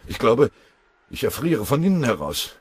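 A man's voice: mid-range voice, quick pace, darkish tone, husky, dry recording, pitched above the performer's own voice, close to an everyday voice. Man playing a whining, pitiful, freezing mechanic. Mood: miserable, whining. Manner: plaintive, wailing, sobbing complaint.

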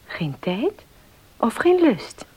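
An actress in a Dutch radio drama playing a compassionate, earnest woman, speaking softly in a husky, breathy voice. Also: on the high side, wildly swooping pitch, slow pace, full character voice. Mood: concerned.

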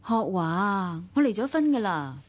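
A woman's voice: medium pitch, big pitch swings, measured pace. A woman with a Hong Kong Cantonese accent acting a worldly, guarded divorced younger sister in a radio drama, nonchalant and wry, with wistful first-person narration. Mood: wry, resigned. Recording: long reverb, music underneath. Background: music.

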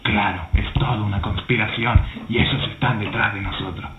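Casting: male actor as paranoid radio-play conspiracist, earnest and insistent; lowish voice, echoey room. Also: fairly even intonation, brisk, soft background music, close to an everyday voice. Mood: solemn storytelling. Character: paranoid conspiracy-minded man at a writing workshop. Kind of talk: amateur radio play acting. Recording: tinny, roomy recording with faint hum.

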